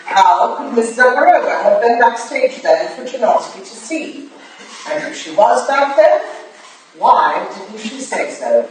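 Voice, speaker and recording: A stern Victorian lady detective played by a woman speaks in a reverberant hall. Her voice is on the high side and very varied in pitch, curt and relentless, as in a period stage drama. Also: very animated, unhurried. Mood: probing, suspicious. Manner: probing, curt. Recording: distant, echoey hall recording with faint noise.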